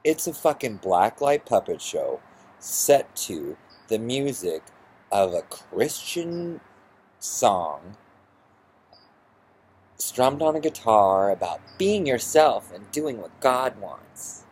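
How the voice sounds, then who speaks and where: A man with a husky voice ranting through a personal story in an exasperated, sarcastic, profane way. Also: mid-range voice, big pitch swings, takes it slowly, darkish tone, poor audio. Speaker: vlogger.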